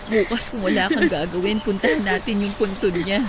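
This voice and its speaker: A woman's voice: mid-range voice, fairly quick, very noisy, lightly acted. A woman playing a kind, supportive young Filipina in a radio drama. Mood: gentle, reassuring. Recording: loud music under the voice.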